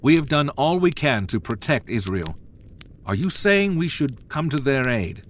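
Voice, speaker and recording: Casting: male actor as troubled Hebrew war leader for Bible audio drama; intense, commanding, very dynamic pitch, clear noise behind. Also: very animated, normal speed, natural voice. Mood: urgent, wary. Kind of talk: dramatized Bible story acting.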